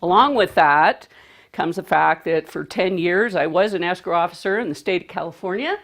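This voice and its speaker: A woman giving a seminar talk to a live audience, projecting her voice and asking the room questions. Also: lowish voice. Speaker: speaker.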